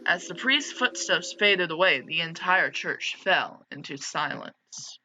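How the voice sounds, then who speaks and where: A man's voice: fairly high pitch, animated, slow pace, pushed and bright, slight background noise, low-quality recording. A man narrating a visual novel's text aloud, dropping in casual asides as a game host.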